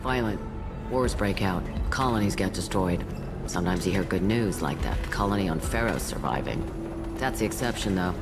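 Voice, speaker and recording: A woman's voice: a bass voice, expressive, normal speed. A woman playing a world-weary, foul-mouthed old alien matriarch bartender in a video game, with sardonic, dry delivery. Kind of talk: video game character acting. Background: loud background music.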